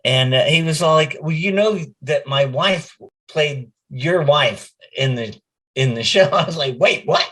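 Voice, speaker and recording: Slow-paced man chatting casually in an interview. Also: fairly high pitch, a bit bright. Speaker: voice actor, interview guest.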